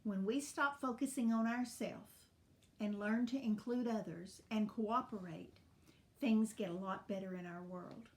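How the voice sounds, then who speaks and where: A woman storyteller reading expressively for children in a deliberate, teacherly manner. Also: mid-range voice.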